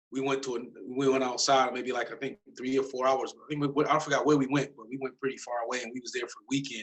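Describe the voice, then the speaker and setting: A man, an interview guest telling his story in a relaxed, rambling way with street slang. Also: medium pitch, low-quality recording. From Detroit, United States. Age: 40s. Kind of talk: casual storytelling.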